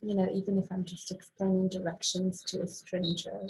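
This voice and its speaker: A woman's voice: lowish voice, completely flat delivery, brisk, mellow, a little hiss or hum, low-quality recording. A young woman from Morocco, a podcast guest, answering thoughtfully and measuredly with frequent ums. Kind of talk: casual interview talk.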